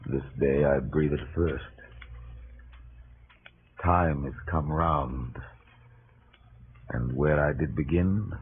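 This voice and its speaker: An actor playing a weary, defeated Roman commander resolved to die, grave and theatrical in 1930s radio Shakespeare style. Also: male voice, a bass voice, gravelly, slightly rough, full character voice. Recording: tinny, noisy 1930s radio recording.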